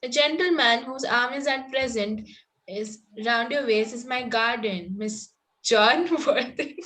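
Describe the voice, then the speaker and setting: A woman playing a sweetly barbed young Victorian girl in an amateur table read, polite and slightly halting. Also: on the high side, fairly bright tone, poor audio. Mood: polite, pointed.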